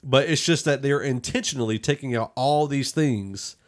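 Male podcast host, medium pitch, conversational and opinionated, qualifying his points in casual review chat. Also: moderate pace. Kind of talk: casual film review discussion.

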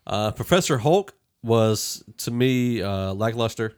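A man's voice: mid-range voice, expressive, takes it slowly, a little mellow, clean tone. A man hosting a podcast, talking through a film review in a rambling, conversational way. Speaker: podcast host. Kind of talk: casual movie review discussion.

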